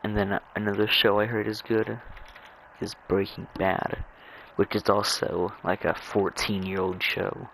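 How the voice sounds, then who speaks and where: Young male gamer, deep fry-laden voice, rambling casual gameplay chat, halting with stammers. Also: level tone, fairly bright tone, a little hiss or hum, rough recording. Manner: halting, stammering, repeating words. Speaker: gamer narrating own gameplay.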